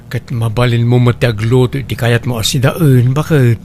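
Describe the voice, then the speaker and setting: A man's voice: medium pitch, fairly even intonation, normal speed, a little mellow, a little hiss or hum, lightly acted. A man with an Ilocano Filipino accent acting as an earnest, questioning young husband in a comedy drama.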